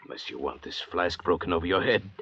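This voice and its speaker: An actor playing a cunning, commanding convict doctor with a stern, calculating manner, in old-time radio drama style. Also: male voice, low-pitched, fast talker, a bit bright. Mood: wary, persuasive. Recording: muffled vintage radio recording.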